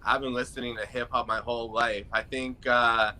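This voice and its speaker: A young man with a very bright, forward tone chats as a guest on a podcast. He sounds easygoing and eager and doubles up words while he gathers his thoughts. A faint hiss sits under his voice. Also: medium pitch, little pitch movement, normal speed, poor audio. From Toronto, Canada. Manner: easygoing and enthusiastic, repeats words as he thinks. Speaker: guest rapper. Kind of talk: casual interview chat.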